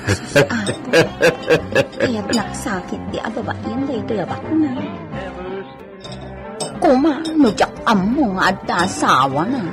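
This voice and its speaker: A woman with a Filipino accent playing a coy, deferential village woman of thirty in a comedy radio drama, hesitant and drawn-out. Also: medium pitch, sing-song. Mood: bashful, whiny. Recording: music bed under the dialogue.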